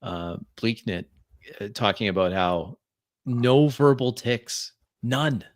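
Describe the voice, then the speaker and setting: A man's voice: mid-range voice, expressive, slow, no room sound, clean studio sound. A man in a casual chat about a roleplay game, appreciative and full of compliments. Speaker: tabletop roleplay player in post-game discussion.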